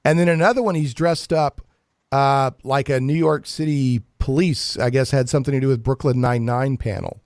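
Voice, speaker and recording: A man hosting a casual geek-news talk show, chatting in a jokey, animated way with playful emphasis. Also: medium pitch, moderate pace, no room sound, studio quality. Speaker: radio talk show host.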